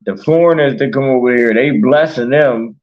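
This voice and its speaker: A man's voice: medium pitch, moderate pace, dull audio. A man in casual, passionate conversation as a talk show host, speaking emphatically with slang and swearing. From Baltimore, United States.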